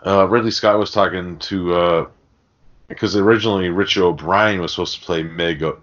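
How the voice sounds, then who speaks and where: A low-voiced man talks casually as a podcast host. He rambles and swears freely, and the recording is dull and lo-fi. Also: fairly even intonation, a little fast, fairly bright tone. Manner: rambling, profane. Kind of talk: casual film discussion. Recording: dull, lo-fi audio.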